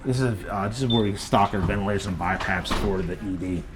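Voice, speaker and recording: A man's voice: on the low side, brisk, noisy background. A man working as a respiratory therapist, explaining his work in a plain, casual way with occasional fillers. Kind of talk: casual workplace interview.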